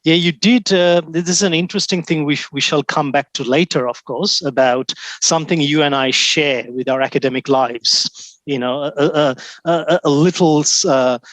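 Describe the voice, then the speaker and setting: A man's voice: on the high side, moderate pace, no echo. A man hosting an interview, asking questions and often murmuring agreement. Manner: warm encouraging listener, many backchannels. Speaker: interview host. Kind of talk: casual interview.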